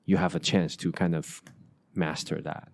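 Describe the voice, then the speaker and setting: Low-voiced halting man. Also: flat delivery, gravelly, no echo. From Asia. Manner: halting, many restarts and fillers. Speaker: podcast host. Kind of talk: casual conversation.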